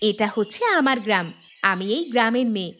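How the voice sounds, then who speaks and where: A woman's voice: mid-range voice, fairly quick, a bit bright, soft background music, natural voice. A woman playing a kindly village woman in a cartoon fairy tale. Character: kindly village woman walking with her family.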